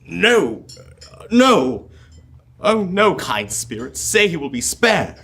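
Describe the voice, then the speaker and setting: A man's voice: fairly high pitch, sing-song, slow, fairly bright tone, breathy edge, full character voice. A young man playing an anguished, pleading miser in an old-time radio drama. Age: teens.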